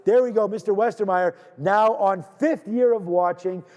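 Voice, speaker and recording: A man from Canada hosts a live trading stream in loose, rambling banter, repeating himself as he greets viewers one after another. His voice sits high and mellow. A long reverb trails behind it. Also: normal speed. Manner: energetic, rambling, repeats words, shouts out viewers.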